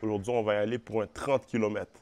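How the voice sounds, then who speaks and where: A man's voice: lowish voice, little pitch movement, fast talker, darkish tone. A man with a Quebec French accent narrating a vlog, stuffy with a cold. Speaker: vlogger.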